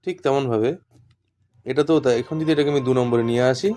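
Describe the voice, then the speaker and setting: A man's voice: mid-range voice, fast, dark tone, faint music behind. A man giving an informal hands-on tutorial as an instructor, explaining step by step and repeating himself. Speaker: instructor demonstrating walkie-talkies.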